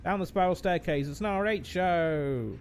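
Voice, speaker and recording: A man's voice: sits high, normal speed, slight background noise. A man streaming a game, chatting casually with wry joking asides.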